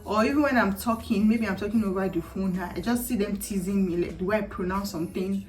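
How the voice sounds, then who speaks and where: A woman in her thirties from Nigeria with a Nigerian accent, chatting casually to camera as a vlogger, animated, laughing often and slipping into Nigerian Pidgin phrases. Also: medium pitch, fairly quick. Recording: heard from a distance, with faint music.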